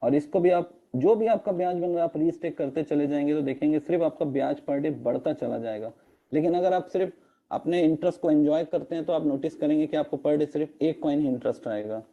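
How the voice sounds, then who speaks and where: A man with a hoarse throat presenting in an online meeting, explaining step by step and slipping English terms into his talk, with fillers. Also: on the high side, brisk, lo-fi sound. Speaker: network marketing presenter. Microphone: video call.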